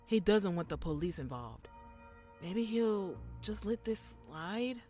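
Level-headed, animated female thief. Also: mid-range voice, slow pace. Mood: cautious. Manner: reasoning, persuasive. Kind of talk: audio drama acting. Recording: music bed behind dialogue.

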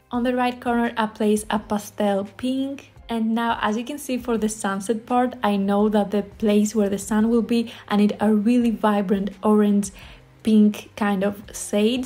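A woman's voice: mid-range voice, dark tone. A young woman from Greece with a Greek accent, narrating a watercolor tutorial in a friendly, enthusiastic, chatty way. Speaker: watercolor art instructor. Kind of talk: voice-over painting tutorial narration.

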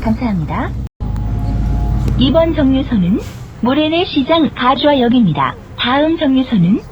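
High-pitched woman making formal bus announcements. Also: sing-song. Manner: formal, measured, carefully enunciated. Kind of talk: scripted public transit announcement. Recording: dull, noisy bus speaker capture. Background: bus engine and cabin noise.

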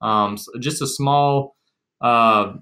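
Bright-voiced young American man. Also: medium pitch, slow. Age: young adult. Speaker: agriculture extension agent, co-presenter. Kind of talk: casual educational explanation. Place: small room at home, indoors.

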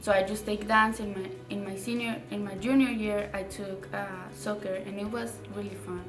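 A young woman from Mexico, a native Spanish speaker with a Mexican Spanish accent, answering interview questions in a halting way, repeating words and using many fillers. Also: medium pitch, little pitch movement, roomy sound, recorded from a distance, over music. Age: young adult. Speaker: graduating student. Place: indoor studio with dark curtain.

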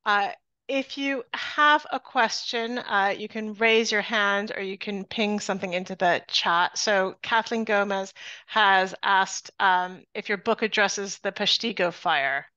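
A woman moderating a video seminar, polite and measured. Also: medium pitch, pushed and bright, clear, resonant tone, no room sound, highs cut off.

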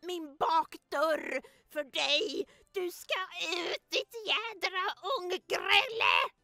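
Shrill, creaky, cursing crone. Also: female voice, pushed and bright, dry recording, soft background music, full character voice. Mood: enraged cursing. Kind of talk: amateur cartoon fan dub.

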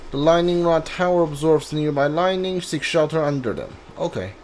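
A man's voice: on the high side, moderate pace, slight background noise. A man giving casual video game commentary, thinking aloud and reading text from the screen. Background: game music.

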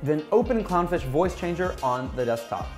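A man in his thirties giving a scripted software tutorial in an upbeat presenter's manner, speaking into a lapel mic in a small office. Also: on the high side, expressive, moderate pace. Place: small bright office, indoors. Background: background music.

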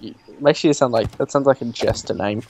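A man's voice: medium pitch, fairly quick, a little mellow, slight background noise. A young man with an Australian accent, chatting casually and joking while playing a co-op game. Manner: joking, laughs often. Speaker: gamer. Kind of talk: casual co-op gaming chat.

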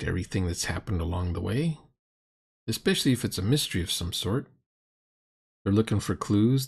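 A man's voice: low-pitched, creaky, a little mellow, slightly rough. A middle-aged man giving casual, sardonic and critical commentary on a roleplaying game.

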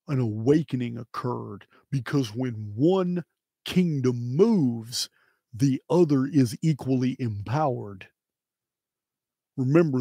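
Slow, deliberate middle-aged man, American accent. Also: medium pitch, mellow, breathy edge, no room sound, clean studio sound. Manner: emphatic, deliberate, pausing between short phrases. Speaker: video host and presenter. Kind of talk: solo presentation to camera, dramatic commentary. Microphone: close microphone on boom arm.

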